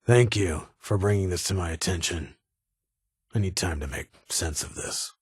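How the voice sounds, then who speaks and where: An actor playing a stern, weary security chief in a video game, with a husky voice and a formal, clipped, authoritative manner. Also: male voice, low-pitched, moderate pace, a little mellow, no echo, clean studio sound. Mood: grave, guarded. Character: stern, weary male vault security chief, guarded but honorable.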